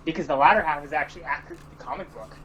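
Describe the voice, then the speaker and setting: A man's voice: on the high side, rapid-fire, a crowd in the background, rough recording. A man co-hosting in casual, opinionated chat. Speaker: co-host.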